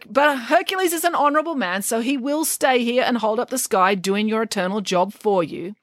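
A woman voicing a clever, practical young female sidekick who speaks briskly and sensibly, in a storybook reading. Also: fairly high pitch, no echo, studio quality, pitched above the performer's own voice, natural voice. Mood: wheedling. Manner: brisk, sensible, a little exasperated.

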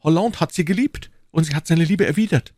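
A man's voice: a little high, brisk, a little mellow, no echo, clean studio sound, voice pushed up, close to an everyday voice. A man voicing a passionate, lovestruck English duke from a classic novel, pleading and fatalistic in an ardent, courtly, declamatory manner.

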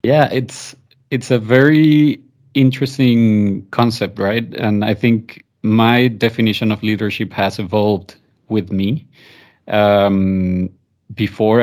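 A man's voice: lowish voice, a little mellow. A man from Venezuela, a native Spanish speaker with a Venezuelan accent, explaining things thoughtfully as a guest in a conversational interview. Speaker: podcast guest.

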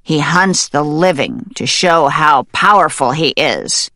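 A woman voicing a brave, gruff male mallard duck in a storybook, a protective loner who talks bluntly and with command. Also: low voice, lively intonation, slow pace, sharp tone, no echo, studio quality, heavily acted. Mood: grave warning.

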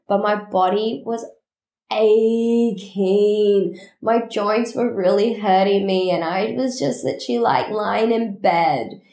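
A woman's voice: mid-range voice, roomy sound. A woman hosting a casual solo podcast, talking in a chatty, rambling way while her voice is nasally and congested. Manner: chatty, rambling and animated, with quick asides. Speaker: podcast host.

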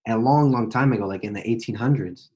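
A man's voice: mid-range voice, fast talker, darkish tone. An American man interviewing casually, talking loosely with lots of "like" and restarts. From United States.

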